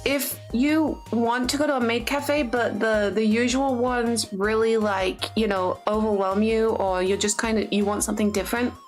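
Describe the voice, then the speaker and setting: A woman streaming live and chatting to her viewers, fairly high in pitch, though tired and a little lower than usual from jet lag. She rambles bubbly with lots of fillers and restarts. Soft music plays faintly behind her. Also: steady tone, moderate pace, hardly any pauses. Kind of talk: casual chat to a live chat.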